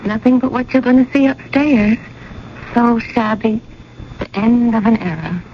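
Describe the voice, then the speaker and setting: A woman's voice: on the high side, measured pace. A woman playing an eager, gossipy and insistent young society lady in a 1980s radio drama. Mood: gossipy pity. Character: eager, pushy engaged society woman, teasing her cousin. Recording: noisy, muffled old radio broadcast.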